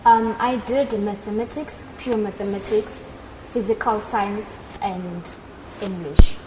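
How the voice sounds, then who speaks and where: A woman's voice: medium pitch, fairly even intonation, slow. A woman in her twenties with a South African accent, explaining things as an interview guest. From South Africa, Northern Cape. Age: 20s. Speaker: interview guest, aircraft maintenance engineer. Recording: noticeable background noise at live event.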